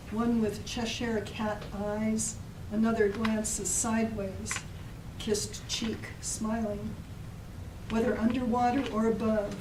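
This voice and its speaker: A woman poet reading her own poem to an audience, measured, with pauses between the lines. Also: a little high. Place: public library reading room. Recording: echoey room, distant mic, noisy ambience.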